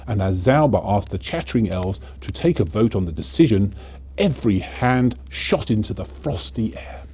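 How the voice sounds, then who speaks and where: Man playing kindly old storyteller, low mellow voice, very husky, gentle. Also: animated, normal speed. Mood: gentle storytelling. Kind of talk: storybook narration.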